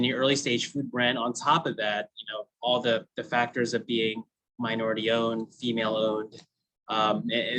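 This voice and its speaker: A man's voice: mid-range voice, sharp tone, poor audio. A man in his thirties, a food brand founder, telling his story to a class in a chatty way with frequent laughs and fillers. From United States. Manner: chatty, laughs often, many fillers like "you know". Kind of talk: casual storytelling talk.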